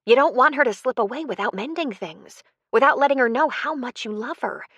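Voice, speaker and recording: A woman's voice: high-pitched, lively intonation, a little fast, fairly bright tone, slightly rough, no echo, dull audio, pitched above the performer's own voice, heavily acted. A woman voicing a frank, consoling older woman with an earnest, pleading, emphatic delivery in an audiobook story.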